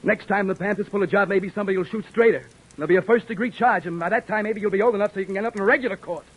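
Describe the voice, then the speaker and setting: A man playing a gruff but decent beat cop who talks in streetwise slang and narrates his story, in 1940s radio acting style. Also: high voice, very fast, low-quality recording. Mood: heated. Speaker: police officer and narrator.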